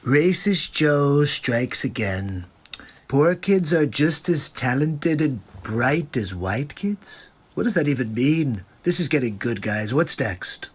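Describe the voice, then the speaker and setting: A man's voice: mid-range voice, lively intonation, slow, a little hiss or hum. A man hosting a live comedy stream, mocking the clips he plays with sarcastic, incredulous asides. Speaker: livestream comedy host. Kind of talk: mocking commentary between clips.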